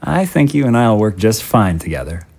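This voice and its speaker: An actor playing a flustered, polite politician in an audio drama, with a hesitant, apologetic manner. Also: male voice, lowish voice, sing-song, moderate pace, creaky, darkish tone, heavily acted. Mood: awkward. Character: flustered, polite male politician with new aide. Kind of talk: audio drama dialogue acting.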